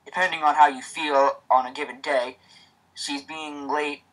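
A man's voice: fairly high pitch, fairly even intonation, unhurried, a bit bright, rough recording. A man with a stutter hosting a casual reading of a fan story, with many restarts.

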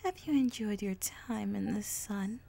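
A woman voicing a sinister dark female pony spirit, a gloating villain who talks in a slow, taunting, hissing whisper. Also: mid-range voice, creaky. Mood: menacing.

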